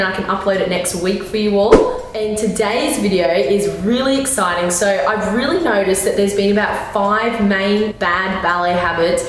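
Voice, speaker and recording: Young Australian woman, ballet coach, a touch raspy, encouraging and instructive; very roomy echo. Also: medium pitch. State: slightly raspy voice. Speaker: ballet teacher. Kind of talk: tutorial talking to camera. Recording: very echoey room, faint background noise.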